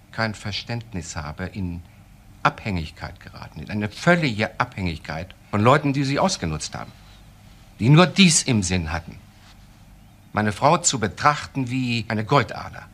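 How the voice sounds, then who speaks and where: An actor playing a cool, disciplined professor in a German crime radio drama, speaking in a measured, formal way. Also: male voice, fairly low pitch, sing-song, a bit bright, exaggerated voice. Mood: controlled bitterness. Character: disciplined, cool professor, bitter about his wife.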